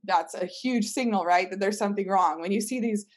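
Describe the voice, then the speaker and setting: A woman's voice: mid-range voice, fairly quick. A woman talking casually in an interview as a startup team member, retelling things informally. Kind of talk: casual conversational explanation.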